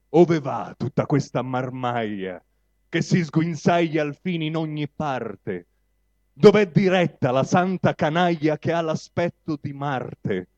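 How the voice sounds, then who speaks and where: A man speaking deliberately to present and recite poetry at a live reading. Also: fairly high pitch, dark tone, no room sound. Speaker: poetry reader at a public reading event. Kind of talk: spoken introduction and declaimed recitation of poetry. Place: live reading at a public venue. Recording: dull, lo-fi live event recording.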